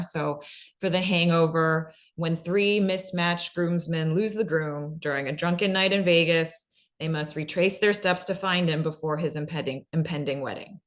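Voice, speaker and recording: Woman with a pretty low voice, casually walking people through stuff like a workshop, checks in a lot. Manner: conversational, often checks in with "right?". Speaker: presenter. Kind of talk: informal workshop explanation.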